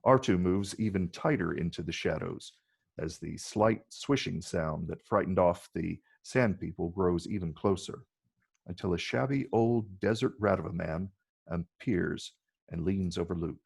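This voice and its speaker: A man delivers scripted narration for a screenplay reading. His voice is deep and husky, with a heavy gravelly creak. He reads the scene descriptions as a narrator. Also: a little slow. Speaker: narrator reading stage directions.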